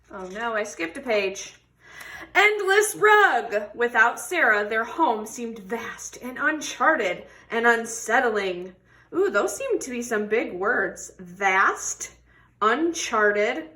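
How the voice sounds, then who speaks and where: Lady doing a kids book read aloud, bright voice, slow and expressive, stretches out words for little kids. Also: on the high side. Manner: expressive, drawn-out emphasis for young children. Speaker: read-aloud storybook reader. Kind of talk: animated children's picture book read-aloud with questions to listeners.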